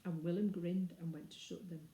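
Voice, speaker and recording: A woman narrates a children's book. Her voice is soft-edged and mellow, her reading expressive. The room adds noticeable echo. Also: fairly low pitch, normal speed. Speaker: storyteller.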